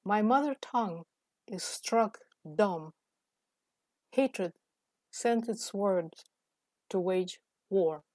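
Slow, deliberate woman reading poetry. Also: mid-range voice, expressive, darkish tone, no room sound. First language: Russian. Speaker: poet.

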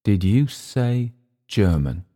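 Man performing jungle old hand, story character, low voice, mocking, blunt, emphatic, menacing. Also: animated, moderate pace, a little mellow.

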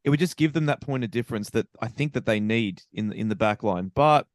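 A man with an Australian accent chatting casually as a podcast co-host, opinionated and animated, stammering when he gets excited. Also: mid-range voice, a little fast, no echo, clean studio sound. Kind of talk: casual sports chat.

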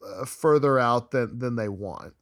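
A man hosting a casual podcast, going over news items in a relaxed way. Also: mid-range voice, a little slow. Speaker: podcast host.

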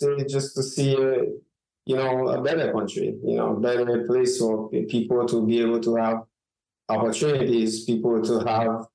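A middle-aged man from Haiti, a journalist answering interview questions, talking haltingly with many fillers and restarts over a remote connection. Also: medium pitch, dark tone. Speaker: guest journalist and author. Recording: echoey remote call audio.

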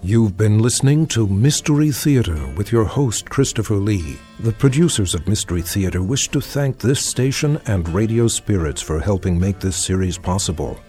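Dark-toned male announcer. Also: fairly low pitch, expressive, normal speed, few pauses, soft background music. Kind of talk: scripted announcing.

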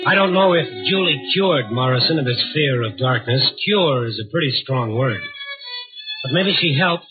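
An actor playing a world-weary, wry newspaper reporter who narrates an old radio drama in hard-boiled style. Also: male voice, medium pitch, expressive, moderate pace, close to an everyday voice. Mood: solemn. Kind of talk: 1950s radio drama first-person narration. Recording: tinny old radio recording, faint music.

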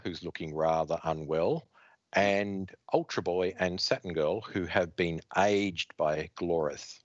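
A man's voice: low voice, no echo. A man hosting a podcast that recaps comic books. He reads the story aloud expressively and acts out the dialogue. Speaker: podcast host. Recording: dry, slightly dull lo-fi sound.

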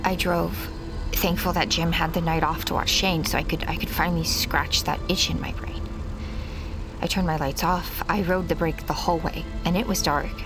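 A haunted mother in a fiction podcast, lowish in pitch and slow to speak. She is obsessive and intense, halting and circling back on phrases, with a score playing behind her. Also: rough recording. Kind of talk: dramatic first-person audio fiction acting.

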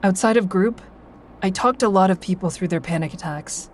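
A woman's voice: mid-range voice, normal speed, slight background noise, lightly acted. An actress playing a guarded, wry woman who narrates her life in a first-person audio drama with dry humor. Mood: wry, reflective.

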